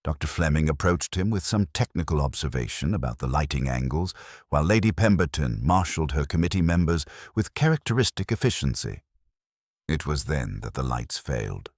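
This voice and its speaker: Very deep man narrating a mystery. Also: sing-song, dry recording, studio quality. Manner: measured, literary, dramatic storytelling. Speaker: audiobook narrator. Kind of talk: scripted mystery story narration.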